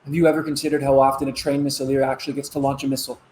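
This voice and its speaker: An actor playing a proud young missile officer in a stage play, explaining earnestly with dramatic pauses. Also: male voice, medium pitch, little pitch movement, darkish tone, a little hiss or hum, close to an everyday voice, low-quality recording. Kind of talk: conversational stage-play dialogue.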